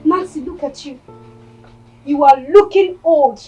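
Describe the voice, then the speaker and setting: A woman's voice: sits high, measured pace, warm tone, soft background music, low-quality recording. A woman playing a mocking, scolding younger sister. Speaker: actor in a comedy skit. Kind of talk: comic skit acting.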